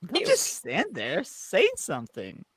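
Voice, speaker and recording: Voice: medium pitch, huge pitch swings, slow pace, fairly bright tone, breathy edge, exaggerated voice. A performer doing Q, a flamboyant alien trickster. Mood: teasing. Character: Q, flamboyant theatrical teasing godlike alien trickster. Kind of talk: live script table read.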